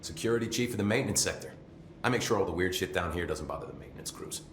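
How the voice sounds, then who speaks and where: An actor playing a chatty, nervy, loyal maintenance security chief in a video game, rambling informally with wry swearing. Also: male voice, low voice, rapid-fire, roomy sound, slight background noise. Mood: wry, candid.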